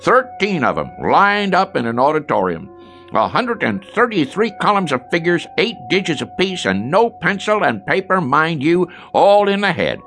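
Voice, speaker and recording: A man playing a boastful small-town storekeeper in a radio drama, with an unhurried rural American drawl and a taste for tall tales. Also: a little high, big pitch swings, a bit bright, faint music behind.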